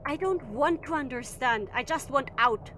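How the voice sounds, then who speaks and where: A woman's voice: sits high, expressive, normal speed, pushed and bright, slight background noise. A woman playing a blunt, defiant shuttle captain in an audio drama, curt and dismissive in manner. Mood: defiant, fed up.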